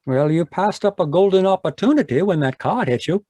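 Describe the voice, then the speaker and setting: Man playing a high-voiced, florid boozy ex-doctor. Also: lively intonation, fairly quick, mellow, dry recording. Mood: wry, curious. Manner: florid, rambling, mock-dignified. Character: boozy ex-doctor sidekick, grandiloquent, genial, philosophical drunk. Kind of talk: 1940s radio drama acting. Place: live remote video-call performance.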